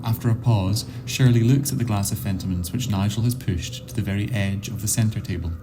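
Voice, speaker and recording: A man narrating a scripted surreal radio play in a formal, measured storytelling manner. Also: on the low side, background noise. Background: music score.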